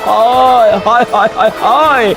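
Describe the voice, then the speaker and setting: A man's voice: very high-pitched, measured pace, a crowd in the background, rough recording. A man voicing a generous, emotional master and father in a cartoon melodrama, repeating his words emphatically. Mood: panicked, wailing. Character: anguished, generous master and doting father, animated wealthy man. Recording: prominent music behind the voice.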